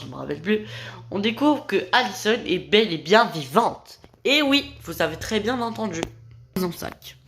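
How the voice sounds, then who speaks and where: Gravelly-voiced man with a cold. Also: fairly high pitch, a bit bright, a little hiss or hum. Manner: reading a plot summary, stumbling and correcting himself. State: has a cold. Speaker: video creator. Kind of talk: series recap narration.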